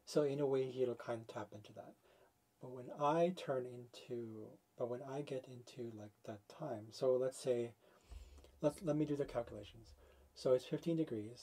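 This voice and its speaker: A man's voice: medium pitch, mellow, slightly rough. A man in his forties talking casually to camera about astrology, thinking aloud and restarting as he works out sums. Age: 40s. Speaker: astrology video host. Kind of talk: casual explanatory talk to camera. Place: small room with foam panels, indoors.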